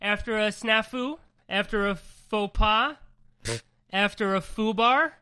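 A woman's voice: medium pitch, takes it slowly, pushed and bright, clear, resonant tone. Chatty, rambling woman podcast host. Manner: rambling, swears freely, laughs often. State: congested from a cold. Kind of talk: casual podcast chat.

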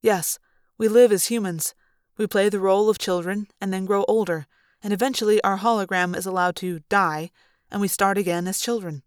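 A patient, ancient male android speaks in a soft, measured way, as a woman reads him. His tone stays earnest and gentle. The sound is dry and close. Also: mid-range voice, steady tone, smooth, no echo, natural voice. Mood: calm.

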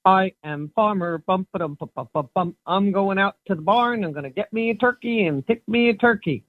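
A woman's voice: medium pitch, normal speed, no room sound, natural voice. A woman playing a folksy, bumbling farmer in an improvised comic story. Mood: jolly. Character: folksy bumbling farmer, sing-song name, exclaims at mishaps. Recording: muffled, phone-like line.

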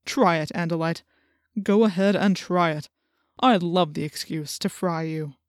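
A woman's voice: lowish voice, steady tone, a little slow, no room sound, pitched below the performer's own voice, natural voice. A cruel alien tyrant inhabiting a man's body , acted out by a woman. The delivery is menacing and commanding, with threats pushed through gritted teeth, as in a dramatized storybook. The sound is dry and close. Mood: cold menace. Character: cruel alien slug controlling a male assistant principal, menacing.

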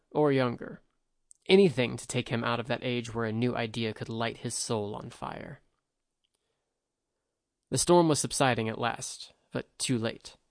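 A man reading a fantasy story aloud as narrator, in steady, even storytelling. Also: medium pitch, vocal fry, no echo, studio quality. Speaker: audiobook narrator. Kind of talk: scripted narration.

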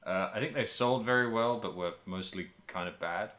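A man with a very low-pitched but bright, crisp-edged voice. He chats as a podcast host about games, loosely and at length, wandering through his thoughts and swearing now and then. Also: normal speed. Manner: rambling, animated riffs peppered with swearing. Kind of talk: casual video game chat.

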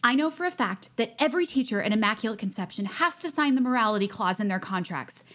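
Zealous teenage girl, audio drama, high-pitched, very lively intonation, nosy, insistent, self-righteous. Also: fast. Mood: scheming, self-righteous. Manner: pushy, earnest, animated, self-righteous.

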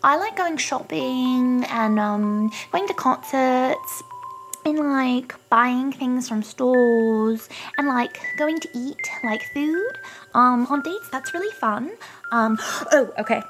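A spoiled, shallow young wizarding student bubbles along in a high, sing-song voice that swoops all over, as a woman puts her on. She giggles and peppers every phrase with filler words, and music plays clearly beneath her. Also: lively intonation, slow pace. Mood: bubbly. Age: young adult. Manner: giggly, ditzy, filler-heavy valley-girl talk. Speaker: caller recording a dating ad.

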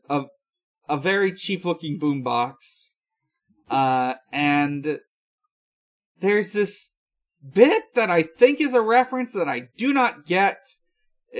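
A man with a voice a little higher than average recaps in a casual chat. His delivery is slow and halting, with a hesitant feel as he hosts. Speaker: podcast host.

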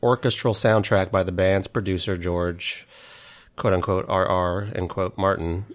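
A man co-hosting a casual podcast who speaks quietly and haltingly, with dry humor. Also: steady tone. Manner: low-key, hesitant, dry humor. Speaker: podcast co-host.

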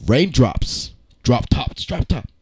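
A man co-hosting a podcast, giving a profane, slang-heavy mock-dramatic reading with theatrical pauses. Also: medium pitch, animated. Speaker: podcast co-host.

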